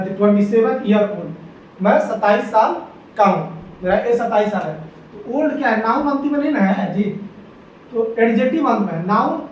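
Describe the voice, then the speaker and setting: A man teaching an exam-prep grammar lesson, repeating rules and quizzing his students with quick questions. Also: very high-pitched. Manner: repetitive, drilling questions to students. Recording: echoey room, distant mic, faint hiss.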